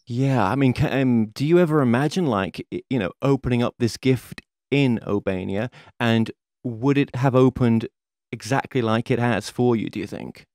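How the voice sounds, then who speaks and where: A man hosts a conversation, his voice at an ordinary middle pitch for a man. He asks his questions in a loose, rambling way full of "you know" and "sort of", and the sound is close and dry with no room around it. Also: normal speed, a little mellow, no room sound. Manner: rambling, hedging questions with fillers. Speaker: podcast host, interviewer. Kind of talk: conversational interview.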